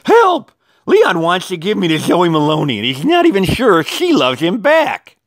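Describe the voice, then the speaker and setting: A storyteller voicing a bossy, scornful paper Valentine heart in a loud, dramatic storybook style. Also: medium pitch, wildly swooping pitch, moderate pace, no room sound, pitched above the performer's own voice. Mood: panicked.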